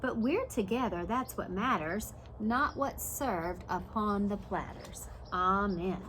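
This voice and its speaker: A woman voicing a gentle, wise storybook grandmother who speaks in a measured, reassuring way. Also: mid-range voice, slight background noise. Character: gentle, wise grandmother leading a family prayer.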